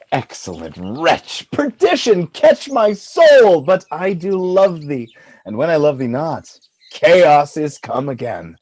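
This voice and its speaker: A man playing a proud military commander and loving husband turning suspicious, in theatrical Shakespearean radio play style, swinging from tender to forceful. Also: on the high side, wildly swooping pitch, takes it slowly, slightly rough, no room sound, heavily acted. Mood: passionate.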